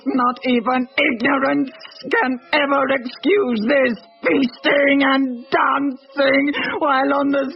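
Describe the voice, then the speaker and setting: A woman's voice: high-pitched, creaky, full character voice. An actress playing a starving, bitter village widow and mother, speaking a mournful, anguished monologue in a radio horror drama. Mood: impassioned despair. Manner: slow, mournful, anguished delivery. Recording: dull lo-fi radio drama recording.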